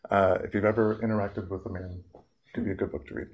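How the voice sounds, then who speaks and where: A man with a low voice and a gravelly edge gives a reflective talk. He speaks earnestly and at a measured pace, pausing now and then with fillers as he works through a point. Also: level tone, darkish tone, poor audio. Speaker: therapist giving a talk.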